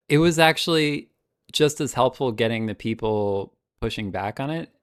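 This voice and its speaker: A man's voice: mid-range voice, a little slow, no room sound, clean studio sound. A man as a podcast guest, chatting casually, laughing often and hesitating with many ums. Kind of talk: casual chat.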